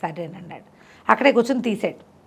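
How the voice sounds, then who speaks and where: A woman's voice: medium pitch, expressive, a little fast, husky. A middle-aged woman tells a moral story conversationally, repeating phrases for emphasis and explaining the lesson simply.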